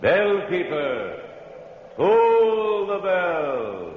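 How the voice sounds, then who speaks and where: Echoing, very slow, portentous male radio announcer. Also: high-pitched, big pitch swings. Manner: drawn-out, portentous. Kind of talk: theatrical old-time radio horror announcing. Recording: echoey, noisy, muffled vintage radio recording.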